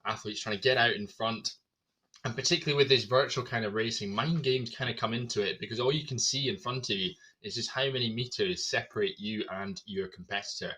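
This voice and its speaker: Bright-voiced male race commentator. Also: fairly low pitch, a little fast, slightly rough, rough recording. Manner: energetic, running play-by-play with fillers.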